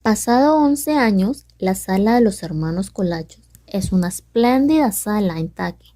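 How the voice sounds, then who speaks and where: A woman's voice: mid-range voice, animated, normal speed, dark tone, no room sound. A young woman, a student presenter, reading a scripted story summary as narrator. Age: young adult.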